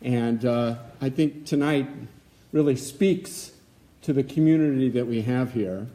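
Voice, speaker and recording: A man's voice: mid-range voice, a little slow, dark tone. A man, co-president of a synagogue, giving loose, conversational welcoming remarks in a hall.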